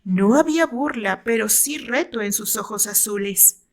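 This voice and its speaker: A woman's voice: a little high, expressive, moderate pace, creaky. A woman with a Mexican accent reading her own novel aloud as dramatic narration.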